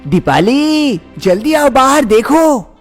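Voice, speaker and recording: A woman voicing an eager, excitable grown man, a husband and son, in a storybook tale, calling out loudly and hurrying the others. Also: high voice, slow, soft background music, pitched above the performer's own voice, full character voice. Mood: excited. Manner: calls out loudly and hurries others. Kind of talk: storybook voice acting.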